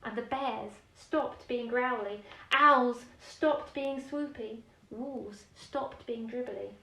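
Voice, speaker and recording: Echoey, higher-voiced woman reading a bedtime story. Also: slow, pushed and bright. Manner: explains and puts simple questions to young listeners. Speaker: storyteller reading a children's picture book. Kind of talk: expressive bedtime story reading with asides to young children. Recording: roomy echo, distant microphone.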